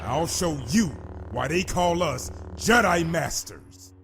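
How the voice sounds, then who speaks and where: A man's voice: a little high, sing-song, slow pace, vocal fry, fairly bright tone, a little hiss or hum, heavily acted. A man playing a stern, righteous Jedi master in a comic dub, speaking in a grave, resolute, formal manner. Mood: defiant. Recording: faint music behind.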